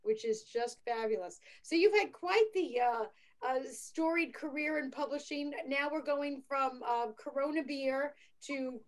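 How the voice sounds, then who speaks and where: A woman's voice: sits high, measured pace. A woman hosting a book talk show, enthusiastic and chatty with many fillers. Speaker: host. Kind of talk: casual interview chat.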